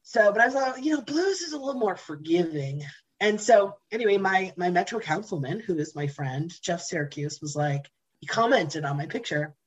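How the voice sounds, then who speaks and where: A woman guest in a casual interview, telling candid, animated stories, swearing casually and laughing often. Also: fairly low pitch, moderate pace, slightly rough, rough recording. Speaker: interview guest, blues jam host.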